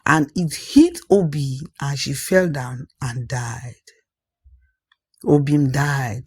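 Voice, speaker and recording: Husky storyteller, closely and dryly recorded. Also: mid-range voice, no echo. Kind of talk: scripted folktale narration.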